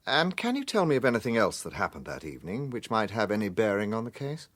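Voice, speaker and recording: An actor playing a courteous, probing gentleman detective questioning people in a radio drama. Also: on the low side, sing-song, fast talker, no room sound. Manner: polite, questioning.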